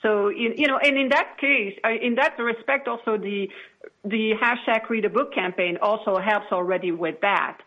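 A woman's voice: fairly low pitch, phone-quality sound. A woman guest in a conversational interview, explaining things emphatically and repeating phrases as she goes. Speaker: podcast guest, online book platform founder.

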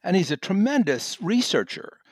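A man with an American accent giving conversational review commentary with deliberate, emphatic phrasing. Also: high voice, very animated, no room sound, clean studio sound. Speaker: audiobook reviewer and podcast guest.

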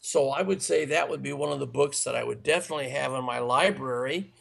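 A man with a raspy, worn voice from long speaking, earnestly giving sales and management advice in an interview. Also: medium pitch, fast talker. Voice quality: gravelly. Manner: earnest, instructive, listing points. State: raspy, tired voice. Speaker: sales trainer guest.

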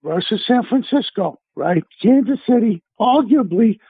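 A man's voice: high voice, lively intonation, unhurried, husky, no room sound. A man with a Brooklyn accent, a celebrity chef guest, chatting on a radio show in an emphatic, salesman-like way over the phone. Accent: Brooklyn New York. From Brooklyn, New York. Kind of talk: casual phone-in radio chat. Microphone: telephone. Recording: tinny phone line.

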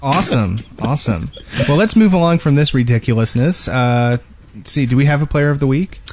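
A man's voice: mid-range voice, lively intonation, fairly quick, noisy background. A man co-hosting a podcast in casual chat, slipping in dry jokes. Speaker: podcast co-host.

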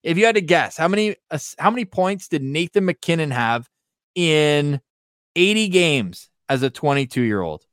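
A man with a fairly high voice talks as a sports podcast host. He runs through hockey talk in a conversational way, stressing the figures. The sound is dry, with very little room. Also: animated, moderate pace, a bit bright, clean tone, no room sound. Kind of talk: lively hockey talk with stats.

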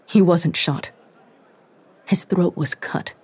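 A narrator playing a calm, grave female investigator. She speaks slowly and firmly, leaning on each word, in a soft, very breathy voice. She sounds steady where others panic. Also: medium pitch. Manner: measured, grave, deliberate.

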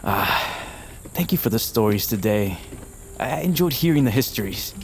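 A man's voice: mid-range voice, lively intonation, normal speed, lightly acted. Man acting an earnest, hesitant young nobleman. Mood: friendly. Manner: hesitant, stammering, soft-hearted. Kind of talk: fantasy audio drama acting.

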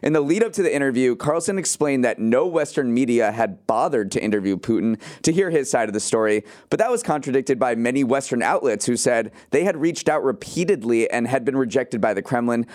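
A man's voice: mid-range voice, lively intonation, a little fast, few pauses, darkish tone. A man co-hosting a news podcast, mixing scripted news reading with conversational commentary. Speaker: podcast co-host.

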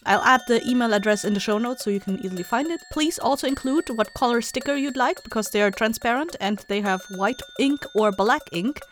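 A woman's voice: on the high side, a little fast, few pauses. A woman podcast host reading a scripted announcement to listeners. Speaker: show host and voice actor.

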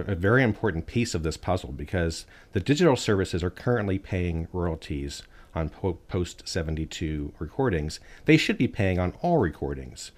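A man with a very deep, low voice speaks as an interview guest. He argues earnestly and with emphasis. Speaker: guest, artists' rights advocate. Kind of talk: interview answers.

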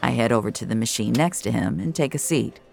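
First-person story narrator, wry, colloquial, creaky voice, faint music underneath. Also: mid-range voice, quick pace. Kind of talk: first-person scripted narration of audio fiction.

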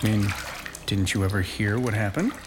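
A man's voice: fairly low pitch, normal speed, noisy background, natural voice. An actor playing a middle-aged, folksy father in an audio horror drama, talking colloquially like a storyteller. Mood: playful, teasing. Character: folksy joshing father, growing drowsy and frightened. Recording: music and splashing water behind. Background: music, bathwater.

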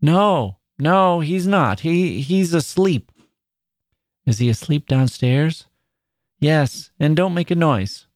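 A man voicing a tense young mother who speaks sharply with a forced gentleness. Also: a little high, lively intonation, takes it slowly, no room sound, studio quality, voice pushed up. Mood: soothing.

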